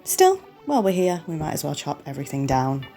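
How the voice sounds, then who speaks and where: A woman's voice: deep voice, very animated, brisk, warm tone, faint music behind. Sniffly woman commentating a game. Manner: apologetic, chatty, talks the viewer through each step. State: sniffly and losing her voice. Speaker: gaming channel host. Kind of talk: relaxed let's-play commentary.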